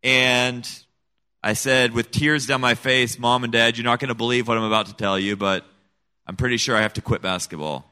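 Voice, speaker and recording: Middle-aged man, earnest testimony, bright tense voice, emphatic storytelling, interview guest. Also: medium pitch, steady tone, normal speed, clear, resonant tone. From Senegal. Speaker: guest, missionary kid.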